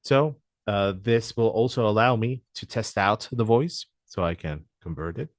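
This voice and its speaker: A man presenting a software demo walkthrough in a webinar, talking hesitantly with frequent "uh" fillers. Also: lowish voice, normal speed, no echo, clean studio sound.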